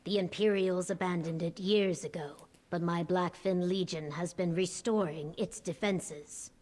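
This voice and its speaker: A woman voicing a steadfast female lizard-folk legion commander in a video game, measured, solemn and formal. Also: on the low side, fairly even intonation, a little mellow. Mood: grave, resolute. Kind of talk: video game character acting.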